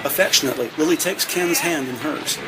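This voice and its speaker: A man's voice: on the high side, brisk, background noise. A man reading scripted narration for an audio drama.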